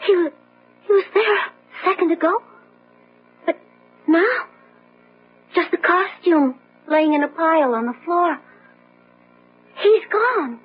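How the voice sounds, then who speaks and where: High-voiced, timid, bewildered nobody. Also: female voice, expressive, heavily acted. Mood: shaken. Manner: halting, breathless, repeating words. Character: timid, self-effacing, bewildered young nobody. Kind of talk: gothic radio drama acting. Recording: muffled radio drama, faint music.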